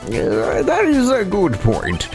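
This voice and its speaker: A performer voicing a stern but easily puzzled elderly male judge in a courtroom game, deliberate and questioning. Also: mid-range voice, huge pitch swings, over music, heavily acted. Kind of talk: courtroom video game voice acting.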